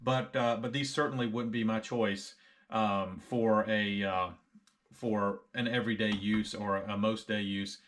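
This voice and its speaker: A man's voice: fairly low pitch, fairly even intonation, measured pace, a bit bright. A middle-aged American man reviewing a product casually to camera, frank and conversational, often repeating himself.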